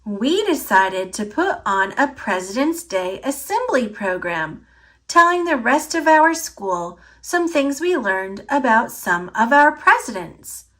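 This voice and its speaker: A woman reading a picture book aloud for children, with deliberate, clearly phrased, expressive narration. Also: a little high.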